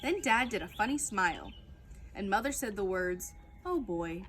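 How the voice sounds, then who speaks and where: A woman reading a children's book aloud as a storytime reader, in an expressive, emphatic storytelling manner. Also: medium pitch, normal speed, soft background music.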